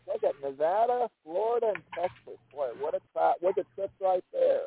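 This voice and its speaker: High, gravelly man, slow and husky. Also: lively intonation, a little hiss or hum. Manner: slow, drawn-out reading of names. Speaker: podcast co-host. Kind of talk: casual chat. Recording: dry, tinny phone-like audio.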